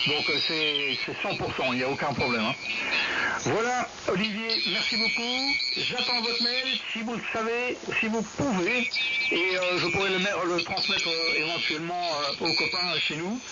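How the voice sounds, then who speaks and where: A man talking casually and haltingly as an amateur radio operator over a two-way radio link. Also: fairly high pitch. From Belgium. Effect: two-way radio transmission. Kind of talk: casual radio contact, unscripted chat. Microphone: radio transceiver microphone, received over the air. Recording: dull lo-fi radio link, loud static noise.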